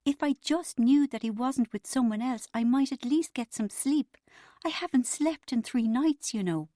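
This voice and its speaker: A woman's voice: mid-range voice, normal speed, a little mellow, no room sound, clean studio sound, natural voice. A woman voicing a lovelorn, bossy young woman, anguished and urgent, in an audiobook. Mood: whispered agony.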